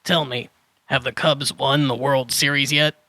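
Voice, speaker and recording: A man's voice: mid-range voice, level tone, measured pace, sharp tone, no echo. A man playing a crazy old hermit dinosaur trader in a children's audio drama, flustered and tangling his sentences. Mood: quirky, chatty. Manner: muddles and swaps word order, then corrects himself.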